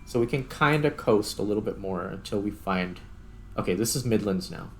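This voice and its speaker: A man's voice: lowish voice, lively intonation, moderate pace, a little hiss or hum. A man giving casual gameplay commentary, thinking aloud in a tentative, self-questioning way as he narrates each step. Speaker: gaming video host.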